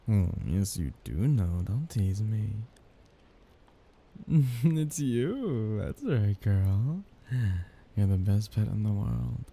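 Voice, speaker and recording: A man playing a possessive, doting young mafia heir in an intimate ASMR romance roleplay, soft-spoken and halting, with breathy chuckles. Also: on the low side, sing-song, full character voice. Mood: playful, coaxing.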